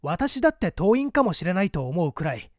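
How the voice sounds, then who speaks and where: A man voicing a calm, shrewd police detective who reports methodically and politely in a dramatized audiobook. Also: high voice, brisk, dry recording, studio quality. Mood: urgent, earnest.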